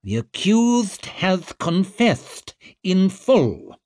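A man's voice: sits high, very animated, drawn-out words, darkish tone, dry recording, studio quality, pitched above the performer's own voice, full character voice. A man voicing an officious court official in an audiobook, formal and strained, calling out to be heard. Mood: officious, raised. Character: Bruckle and Glockter, officious court officials straining over uproar. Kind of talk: audiobook character acting.

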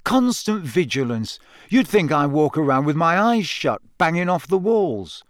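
A man's voice: a little high, sing-song, a little slow, no echo, clean studio sound. A man voicing a good-natured teenage schoolboy hero in a storybook, informal and direct. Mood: wry.

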